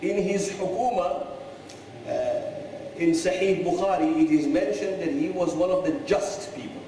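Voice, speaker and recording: A man's voice: high-pitched, dark tone, slight background noise. Middle-aged male cleric in an echoey hall. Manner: measured, emphatic preaching with Arabic recitation. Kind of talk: religious lecture. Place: large mosque hall, indoors. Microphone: clip-on mic. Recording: echoey hall, mic far from the voice.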